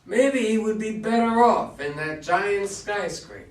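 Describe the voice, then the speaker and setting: A man's voice: high voice, lively intonation, a little slow. A man playing a flustered astronaut trying to manage a confused crewmate, in an amateur staged play reading. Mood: exasperated. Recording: echoey room, distant mic.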